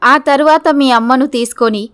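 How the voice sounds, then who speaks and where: A woman's voice: high voice, moderate pace, a bit bright, clear, resonant tone. A woman voicing young female characters, schemers and their victims, in a dramatised moral story. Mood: menacing, demanding. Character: scheming, greedy, threatening woman blackmailer and her frightened young victim.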